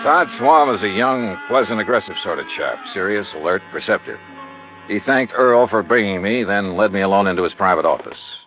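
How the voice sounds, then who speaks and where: A man's voice: fairly low pitch, lively intonation, normal speed, a bit bright, faint music behind. An actor playing a sardonic, impatient American insurance investigator who narrates his case in a 1950s radio drama. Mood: brisk narration. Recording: dull, noisy old radio recording.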